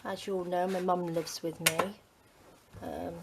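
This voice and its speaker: A middle-aged woman from the UK, chatting casually to her craft channel, rambling with many ums and dropping to a soft near-whisper as she works. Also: lowish voice, level tone, a little slow, vocal fry, background noise. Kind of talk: casual chat while crafting.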